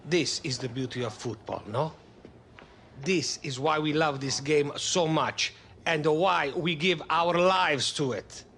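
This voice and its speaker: A man's voice: on the high side, fairly bright tone, a little hiss or hum. A man playing an earnest, impassioned football manager who speaks in formal, eloquent phrases in a video game. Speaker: football coach. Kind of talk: motivational speech in game cutscenes.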